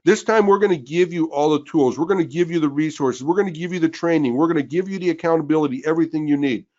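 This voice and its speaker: A man co-hosting a webinar who is losing his voice, pushing a sales pitch and calling out names to rally listeners. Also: quick pace, dark tone. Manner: pushy, rallying, calls out names. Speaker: webinar co-host. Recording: dull lo-fi webinar audio.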